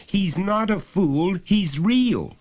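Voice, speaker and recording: A man voicing a small, earnest, stubborn little boy in a storybook reading, softly and simply spoken. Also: sits high, lively intonation, slow, lo-fi sound, pitched above the performer's own voice, exaggerated voice. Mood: earnest, passionate.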